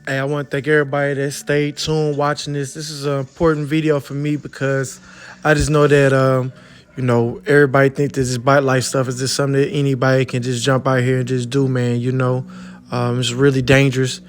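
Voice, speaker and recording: A man's voice: medium pitch, level tone, fairly quick, smooth. A man with an American accent hosting a vlog, chatting casually in informal, slangy speech. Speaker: host filming the vlog. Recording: faint background noise, live garage sound.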